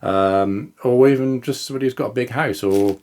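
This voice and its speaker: A man with a mid-pitched voice talks casually through a product demonstration. He is informal and chatty, often thinking aloud, hesitating and correcting himself as he explains. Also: fairly quick, a little mellow. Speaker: product reviewer and video host. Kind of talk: casual unboxing and demonstration talk to camera.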